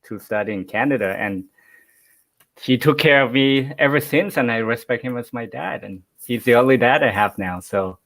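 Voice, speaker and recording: A young man from Thailand whose first language is Thai, speaking English as a guest on a live video call, softly and with hesitant pauses. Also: medium pitch, low-quality recording. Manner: soft-spoken, hesitant. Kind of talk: casual live chat.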